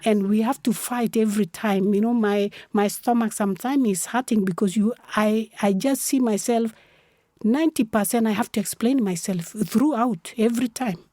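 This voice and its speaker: Woman activist, Sudanese accent, non-native English, emphatic interview talk; slightly high, soft-edged tone. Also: normal speed, no echo, studio quality. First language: a mother tongue other than English. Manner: emphatic, repetitive rhetorical questions. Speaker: activist guest.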